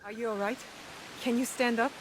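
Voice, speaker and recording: A woman's voice: a little high, fairly even intonation, normal speed, background noise, close to an everyday voice. An actress playing a determined, guarded young shinobi woman in a video game cutscene, speaking in a blunt, terse, resolute manner. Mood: steady.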